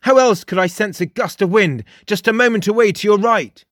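A man's voice: high voice, fairly quick, a bit bright, dry recording, clean studio sound, pitched above the performer's own voice. A man voicing a smug, theatrical young male mystic in a storybook, declaiming with pompous emphasis. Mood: smug, grand. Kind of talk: audiobook character dialogue.

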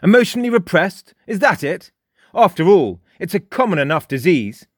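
A man's voice: high voice, big pitch swings, no echo, studio quality, pitched above the performer's own voice. A male narrator voicing a mischievous, fox-like teenage boy who taunts and lectures in a lofty, mocking way. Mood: taunting. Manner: mocking, lofty, rapid-fire barrage. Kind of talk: audiobook character voice.

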